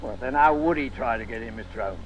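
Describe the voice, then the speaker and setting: An actor playing an eager, blunt, slightly slow-witted police inspector in an old-time radio play. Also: male voice, medium pitch, highs cut off, a crowd in the background, lightly acted. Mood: eager, earnest.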